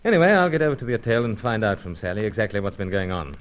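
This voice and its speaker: An actor playing a dashing, unflappable secret agent in a radio serial, clipped, jaunty and reassuring. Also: male voice, on the low side, very animated, racing, highs cut off. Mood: businesslike. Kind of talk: old-time radio serial acting and narration.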